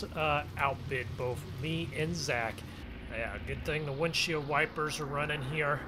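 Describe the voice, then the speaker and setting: A man's voice: fairly high pitch, background noise. A man giving casual, folksy role-play commentary as host of a farming game video, often exclaiming as he goes. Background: game vehicle and ambience sounds.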